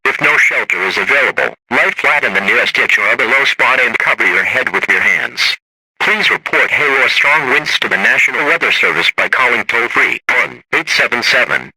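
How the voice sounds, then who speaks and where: A man's voice: mid-range voice, pushed and bright, husky, rough recording. A man's synthetic-sounding voice reading a scripted emergency weather warning as an alert announcer.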